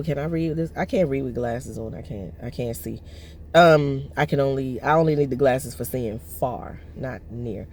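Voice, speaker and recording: A woman in her thirties vlogging from her parked car, her voice hoarse and lowered from allergies, rambling with many fillers and restarts. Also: darkish tone, slight background noise. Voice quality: lowered, husky voice. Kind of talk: casual personal vlog. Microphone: phone on the dashboard.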